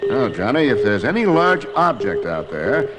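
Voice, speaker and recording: An actor playing a knowledgeable, steady scientist father, speaking in an earnest, explanatory way in a vintage cartoon adventure. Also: mid-range voice, normal speed, very noisy, lightly acted. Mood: calm, explanatory. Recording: prominent music behind the voice.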